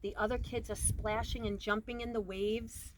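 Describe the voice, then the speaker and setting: Higher-voiced woman reading a children's storybook. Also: normal speed, low-quality recording. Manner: expressive, with emphasized words and varied dynamics. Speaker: storybook reader. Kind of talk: children's picture book read-aloud. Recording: clear background noise.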